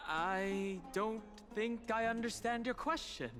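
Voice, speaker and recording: A man's voice: high-pitched, extremely slow. An actor voicing a young male traveler in a video game, talking in a dry, slightly awkward way. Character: wry young male traveler hiding his origins. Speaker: player character. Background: game music.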